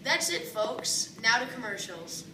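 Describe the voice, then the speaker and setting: A woman hosts a newsreel in a slightly high voice. The recording is very echoey, with clear noise behind her and the mic some way off. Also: sing-song, measured pace, distant mic. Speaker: news host and interviewer. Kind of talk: newsreel presenting.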